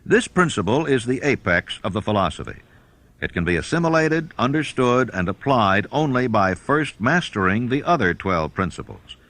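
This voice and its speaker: A man reading a self-help audiobook as narrator, in a measured, instructional manner. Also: on the low side, a bit bright.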